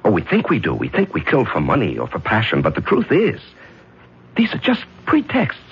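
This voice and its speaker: A cold, smooth murdering judge. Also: male voice, lowish voice, huge pitch swings, moderate pace, exaggerated voice. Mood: soothing, reasoning. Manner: smooth, patronizing, coolly reasoning. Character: calm, cold-blooded middle-aged judge who kills. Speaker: radio drama actor. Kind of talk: 1970s radio drama acting. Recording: dull old radio broadcast with music.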